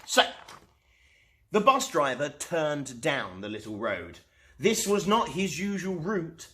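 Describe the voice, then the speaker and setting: A man reading a children's storybook aloud as host, expressively and with dramatic pauses. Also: fairly high pitch, a little hiss or hum. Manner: animated, unhurried, dramatic pauses.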